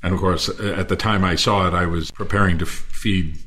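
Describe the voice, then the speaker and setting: Older male actor, low register, reflective and articulate, effusive in conversation. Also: steady tone, fairly quick, pushed and bright. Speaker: stage actor. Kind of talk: reflective panel discussion.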